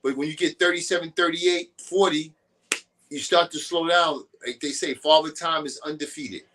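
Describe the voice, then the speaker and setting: A man's voice: fairly high pitch, steady tone, moderate pace, fairly bright tone, a little hiss or hum. A man as a guest on a boxing talk show, giving loose and opinionated casual talk.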